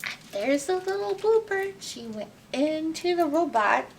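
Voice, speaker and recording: A woman reading a picture book aloud to children as a library storyteller, expressive and often putting questions to her listeners. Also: extremely high pitch, unhurried, creaky. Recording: distant mic with background noise.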